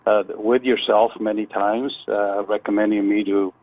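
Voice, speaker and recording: An older man calling in to a radio show on a phone line, chatting casually and haltingly, repeating words as he goes. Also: fairly low pitch, level tone. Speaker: phone-in guest, retired contractor. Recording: muffled narrowband phone line.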